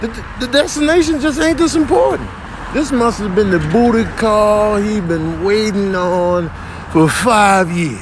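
A man's voice: high-pitched, unhurried. A man giving exaggerated, incredulous comedic commentary over clips in slangy language, on noisy clip audio. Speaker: voiceover comedian.

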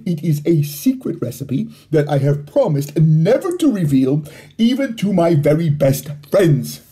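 A proud, grumbling storybook boy, acted out by a man, talks in a mellow, unhurried voice. He draws out his words deliberately, hesitating as he lists things, with plenty of swing in pitch. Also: sing-song. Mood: exasperated. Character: Bart, proud, grumbling boy with secret family remedies.

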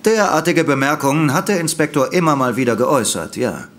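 A man's voice: a little high, lively intonation, a little fast, fairly bright tone. An actor playing a dutiful, cautious male police officer in a German audio drama, speaking formally and correctly. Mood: agreeable.

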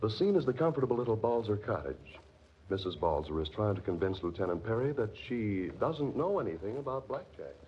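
A man's voice: fairly low pitch, sing-song, a little fast, husky. A man announcing a 1940s radio drama as a scripted narrator. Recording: muffled vintage radio recording with faint music.

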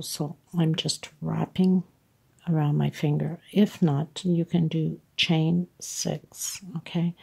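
A middle-aged woman with a congested, stuffed-up voice hosts a chatty crochet tutorial at home and thanks her viewers warmly. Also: low-pitched. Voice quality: husky, gravelly voice. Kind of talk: casual step-by-step craft tutorial. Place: at home, table with yarn.

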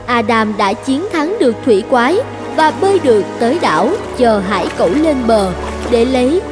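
A woman's voice: on the high side, animated, moderate pace, music in the background, lightly acted. A woman narrating a scripted children's fairy tale.

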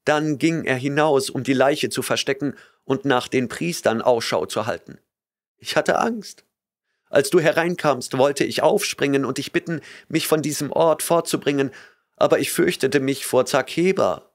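A man's voice: medium pitch, moderate pace, voice pushed up, natural voice. A man voicing a terrified young woman who pleads and whimpers, breathless with fear, in an audiobook reading. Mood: fearful. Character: terrified young woman, a trembling false oracle.

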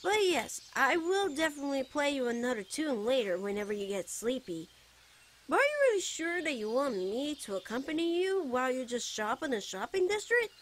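A woman's voice: high-pitched, big pitch swings, a little hiss or hum. A woman voicing a playful, teasing, fondly protective young bard boy in a giggly ASMR character roleplay. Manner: teasing, giggly, drawn-out phrases. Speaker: voice actor.

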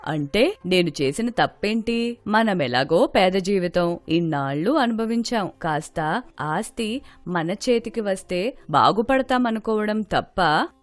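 A woman puts on a nosy older mother-in-law for a moral tale. She is greedy and self-justifying, with lively swings in her voice and a dry sound. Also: mid-range voice, unhurried, dry recording, natural voice.

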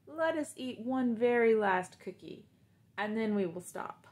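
A woman's voice: fairly high pitch, expressive, a little slow, a little mellow. A woman voicing an eager storybook toad. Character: eager excitable male toad, storybook friend.

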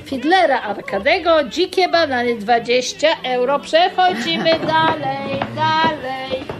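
An actress playing a brisk, showy tour guide in a radio play, declaiming her patter. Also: high-pitched. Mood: showy, inviting. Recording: music behind the voice.

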